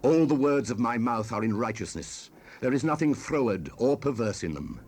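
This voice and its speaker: A man's voice: mid-range voice, lively intonation, moderate pace, a little mellow, slightly rough. Man proclaiming as exalted, righteous spirit of Wisdom. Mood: exalted, commanding. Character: Wisdom personified, proud, righteous, ancient female spirit. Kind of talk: dramatized Bible reading. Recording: 1970s cassette rip with hiss.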